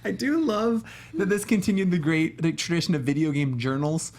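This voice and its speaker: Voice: mid-range voice, fairly quick, darkish tone, slight background noise. A podcast co-host chatting casually about a movie in a colloquial, wry, amused way. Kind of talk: casual chat, movie review banter.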